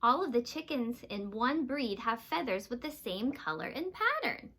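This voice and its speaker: A woman speaks as a teacher reading aloud to young pupils. Her voice is fairly high and very bright, with animated swings in pitch. She explains things in simple terms and often puts questions to the children. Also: normal speed. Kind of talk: children's read-aloud lesson.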